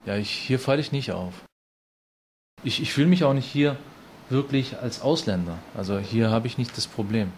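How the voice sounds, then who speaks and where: A man gives a documentary interview at an ordinary middle pitch. He talks reflectively and stops now and then to search for words. A faint hum of ambience sits behind him. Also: normal speed.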